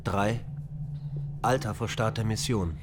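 A man playing a male onboard computer in a radio drama, with a calm, clipped, commanding delivery. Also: lowish voice, slow. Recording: noisy radio play recording.

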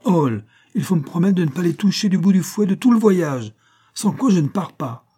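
A man's voice: medium pitch, lively intonation, moderate pace, warm tone, pitched above the performer's own voice. A man voicing a petulant, gushing young woman in an adventure audiobook. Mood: childish pleading. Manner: pouting, indignant, gushing. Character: petulant, sentimental young woman on a sled expedition.

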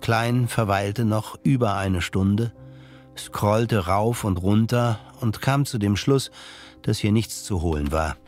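A man with a fairly low voice narrates an audio drama in scripted literary prose. Faint music runs behind him. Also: takes it slowly, darkish tone.

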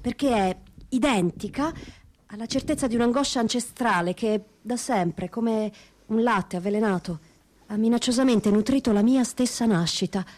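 A woman giving a solemn, measured dramatic reading of a literary text. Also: a little high, a little mellow. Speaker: stage reader. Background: wind instrument music.

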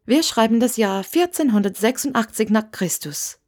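A woman's voice: fairly high pitch, lively intonation, normal speed, darkish tone. Woman voicing a frank, teasing storybook witch. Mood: matter-of-fact. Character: friendly, mischievous female witch, blunt and self-assured. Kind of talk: audiobook character dialogue.

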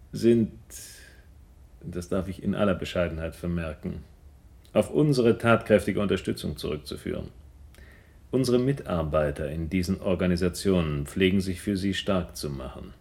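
An actor playing a smooth, menacing blackmailer in a radio crime drama, speaking in a formal, pompous and courteously threatening way with a gravelly voice. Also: male voice, sits low, darkish tone. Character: smooth, menacing middle-aged male blackmailer in business dealings.

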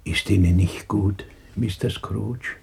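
An actor playing a timid, deferential clerk with a breathy, husky voice and a polite, apologetic manner in a 1960s German radio play. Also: male voice, on the low side, slow pace, darkish tone, exaggerated voice. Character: timid, deferential clerk, humble family man.

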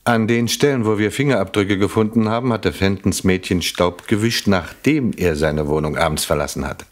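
An actor playing a shrewd, courteous British police inspector in a German radio crime drama, speaking in a measured, drily insinuating way. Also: male voice, low-pitched.

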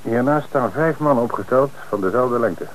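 An actor playing a calm, commanding male police commissioner who directs a lineup in an old radio play. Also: mid-range voice, normal speed, close to an everyday voice, low-quality recording. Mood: businesslike.

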